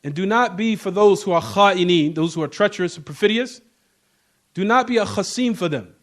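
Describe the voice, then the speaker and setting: Male Islamic class teacher, notably high voice, emphatic informal lecturing with repetition and rhetorical check-ins. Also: a little fast. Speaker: religious teacher giving a class.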